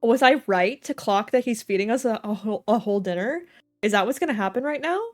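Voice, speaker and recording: A woman's voice: a little high, lively intonation, fast, faint music behind. A woman livestreamer giving casual, joking, slangy reactions as she plays a game. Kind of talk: casual game playthrough commentary.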